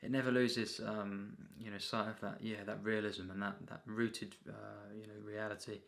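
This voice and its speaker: Gravelly, flat-toned man reviewing a film. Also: on the low side. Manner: halting, repetitive, frequent throat clearing and filler phrases. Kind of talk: unscripted, rambling film review.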